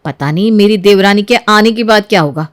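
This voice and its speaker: A woman's voice: mid-range voice, brisk, lightly acted. A woman storyteller voicing a jealous, argumentative young housewife who complains and mocks her in-law. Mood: bitter, grumbling. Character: jealous, sarcastic elder daughter-in-law, young adult housewife.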